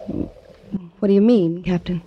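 A woman's voice: lowish voice, steady tone, slow pace, highs cut off. A woman playing a genteel, determined army widow in a 1950s radio western, polite and refined. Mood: hushed, wistful. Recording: noisy old 1950s radio recording.

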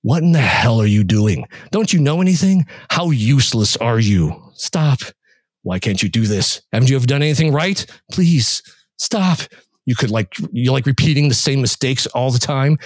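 A man voicing a chorus of cruel inner voices that hurl quick, shouted accusations. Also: mid-range voice, animated, dry recording, studio quality, pitched above the performer's own voice, lightly acted. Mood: berating. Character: shrill berating inner voices, cruel and frantic.

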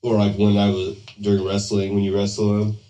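Low-voiced guy, distant in a roomy space. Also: monotone, a little fast, mellow. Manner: rambling, profane, laid-back. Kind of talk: casual chat watching a fight. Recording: roomy, distant mic, music behind.

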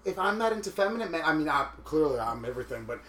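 A man with a raspy voice, talking in a flamboyant, animated way in casual chat as a podcast co-host. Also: a little high, rapid-fire, roomy sound.

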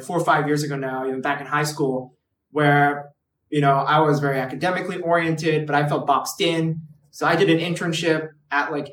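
Young guy from hong kong chatting on a podcast, bit high voice, echoey room. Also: a bit bright, far from the mic, slight background noise. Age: 20s. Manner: chatty, lots of fillers like "like" and "right". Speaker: guest. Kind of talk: casual podcast interview. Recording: roomy echo, away from the mic.

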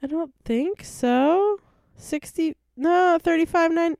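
A woman's voice: extremely high pitch, takes it slowly, vocal fry, darkish tone, no room sound, clean studio sound. Playful young woman podcast co-host. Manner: playful, laughing. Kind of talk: casual chat.

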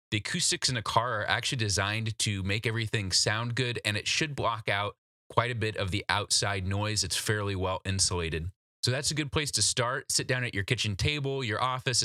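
A man's voice: on the low side, bright, forceful tone, no room sound, studio quality. A man hosting an instructional solo podcast, coaching the listener in a direct, practical, conversational way. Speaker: podcast host giving job interview advice.

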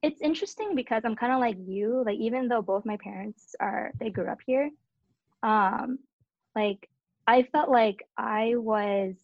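A woman's voice: on the high side, a little slow, dry recording. Chatty young woman, dull dry mic. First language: Cantonese and English. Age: young adult. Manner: chatty, frequent fillers. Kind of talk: casual podcast discussion.

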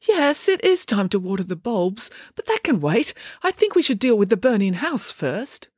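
Reader doing a flustered, high-voiced dad. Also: male voice, big pitch swings, normal speed, no room sound, clean studio sound. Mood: bewildered. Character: scruffy, permanently bewildered grown-up father.

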